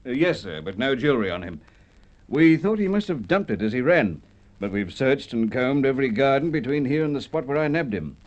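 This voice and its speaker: An actor playing a plain-spoken, deferential police constable in a radio drama, reporting briskly to a superior. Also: male voice, mid-range voice, sing-song, a little mellow, natural voice. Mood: earnest, reporting. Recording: dull lo-fi vintage radio recording.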